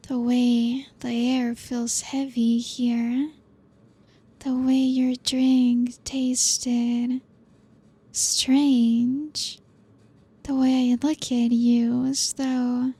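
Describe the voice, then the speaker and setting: A young woman voicing a possessive, ancient female vampire in an ASMR roleplay, breathy and whispery, teasing slowly with soft laughs. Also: sits high, heavily acted.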